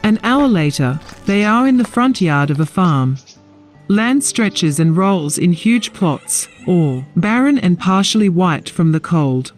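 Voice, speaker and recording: A male narrator with a rather high voice. He reads scripted fantasy scenes with lively, varied intonation, and soft music plays behind him. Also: unhurried.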